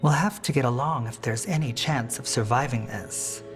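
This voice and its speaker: An actor as a poised former magister leading rebels in a fantasy game. The voice is mid-pitched, urbane and wryly formal, with faint music underneath. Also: moderate pace, close to an everyday voice. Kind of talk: dramatic video game acting.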